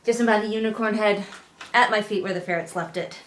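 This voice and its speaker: A woman's voice: medium pitch, steady tone, fast talker, a bit bright. A middle-aged woman giving casual, exasperated read-along commentary on a book, sarcastically acting out quotes as she goes. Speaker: book review host.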